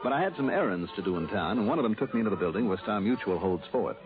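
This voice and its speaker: An actor playing a wry, unflappable insurance investigator in an old radio drama, dry and sardonic. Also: male voice, fairly low pitch, lively intonation, very fast, narrow, tinny audio, natural voice. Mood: brisk narrating. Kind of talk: old-time radio drama acting and first-person narration. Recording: thin old radio recording, loud music.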